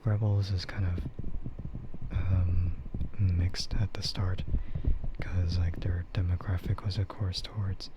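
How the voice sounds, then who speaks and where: Male ASMR streamer, soft whispery delivery, unhurried and rambling, muffled recording with clear background noise. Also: sits low, flat delivery. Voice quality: breathy, soft, mostly whispered. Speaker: streamer, VTuber. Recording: dull, muffled audio with loud ambient noise.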